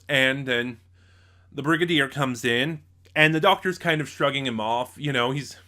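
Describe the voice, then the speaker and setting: A man giving a casual, unscripted review as a reviewer, speaking haltingly and often losing his thread. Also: on the high side, pushed and bright. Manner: halting, loses his train of thought.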